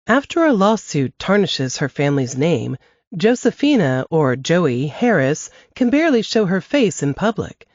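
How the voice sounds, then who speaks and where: A woman reads a book blurb in a steady, measured way, with a mid-range voice. The recording is dull and dry, like a close, lo-fi mic. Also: deep voice, dry recording.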